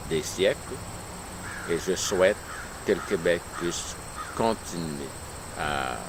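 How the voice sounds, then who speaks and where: A man giving an unscripted personal statement on his political views, with halting, deliberate phrasing. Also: sits low. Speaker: local resident giving a personal testimonial. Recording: clear outdoor nature noise, insects.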